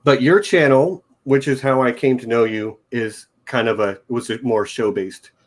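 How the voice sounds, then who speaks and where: A man's voice: medium pitch, moderate pace. Male host, informal chat, easygoing and jokey. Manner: relaxed, joking, casual rambling. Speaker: podcast host.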